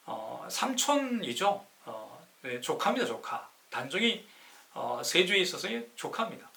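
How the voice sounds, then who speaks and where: 강의하듯 힘주어 말하는, 억양 변화가 큰 남자. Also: male voice, on the high side, gravelly, pushed and bright. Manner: didactic, asks rhetorical questions and answers them himself; emphatic, stretches key words. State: sore throat from campaigning. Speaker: live-stream host, city councilor. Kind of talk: live-streamed lecture explaining classical poetry.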